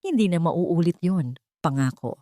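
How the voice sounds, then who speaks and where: A woman's voice: fairly low pitch, animated, unhurried, dark tone, no echo. Woman reading as an earnest, infatuated businessman, dry sound. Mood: earnest, reassuring. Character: trusting, infatuated male company executive reassuring his lover.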